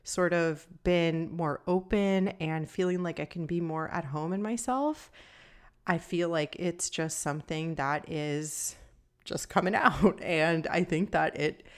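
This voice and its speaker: A young adult woman hosting her own podcast, talking in a calm, somewhat monotone and deeper voice. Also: measured pace, smooth. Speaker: podcast host and nutrition coach. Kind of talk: casual solo podcast talk.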